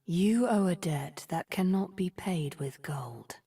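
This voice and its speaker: A woman voicing a menacing, manipulative sorceress from a video game, husky and breathy, with slow, taunting threats. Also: lowish voice, lively intonation, mellow, full character voice. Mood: cold menace. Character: menacing, manipulative sorceress, a cold scheming deceiver. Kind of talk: video game champion voice lines.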